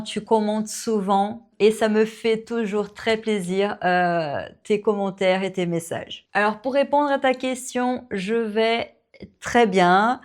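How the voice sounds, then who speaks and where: A woman who teaches French and hosts her own channel, answering viewer questions in a relaxed Q&A, with many fillers and small laughs. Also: mid-range voice. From Brazil. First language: Portuguese. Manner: slow, warm, relaxed, with frequent fillers and little laughs. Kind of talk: casual Q&A to camera.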